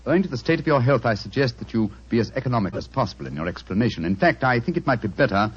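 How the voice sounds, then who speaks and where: An actor playing a shrewd, courteous English detective with a British accent and precise, eloquent delivery in an old-time radio drama. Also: male voice, mid-range voice, expressive, racing, breathy edge. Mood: probing, skeptical. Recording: dull vintage radio recording.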